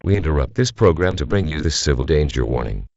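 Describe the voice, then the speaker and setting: Very deep, grave male actor as emergency announcer. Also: lively intonation, gravelly, highs cut off, noisy background, full character voice. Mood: grave, official. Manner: formal, measured, solemn. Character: grave male government civil defense announcer. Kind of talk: scripted emergency broadcast bulletin.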